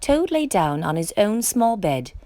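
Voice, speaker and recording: Slow, highly animated woman reading a storybook. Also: dry recording. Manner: expressive, theatrical delivery. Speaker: storytime reader. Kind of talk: children's picture book read-aloud.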